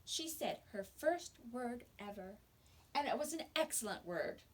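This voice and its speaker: A woman teacher reading a picture book aloud to young children with expressive storytelling and dramatic pauses. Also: a little high. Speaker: kindergarten teacher.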